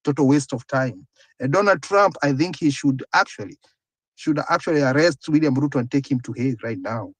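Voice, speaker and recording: A man's voice: mid-range voice, fairly quick, no echo. A man speaking on a discussion panel, blunt and dismissive in his views. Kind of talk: forceful opinion.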